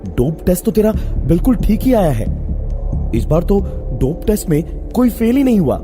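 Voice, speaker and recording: Man acting an exasperated, insistent young man, pitched up. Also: sing-song, normal speed, music in the background. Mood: angry, incredulous. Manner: agitated, repeats words emphatically. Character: angry, exasperated young male friend, pleading and insistent.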